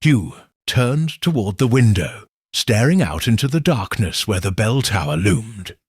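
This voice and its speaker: Male narrator for a mystery audiobook, husky, unhurried, expressive pitch. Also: dry recording, studio quality. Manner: slow, measured storytelling. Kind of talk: first-person scripted narration.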